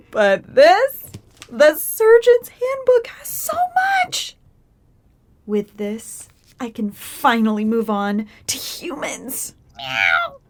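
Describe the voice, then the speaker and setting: A woman's voice: fairly high pitch, big pitch swings, drawn-out words, full character voice. A woman playing a naive, eager female house cat in an audio drama, earnest and starry-eyed in manner. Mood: excited, awestruck.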